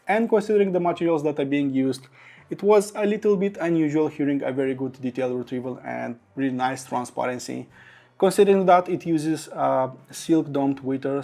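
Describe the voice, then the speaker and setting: A man's voice: mid-range voice, creaky, a little mellow. A man reviewing audio gear to camera, speaking enthusiastically and piling up descriptive words. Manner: enthusiastic, piles up adjectives. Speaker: product reviewer.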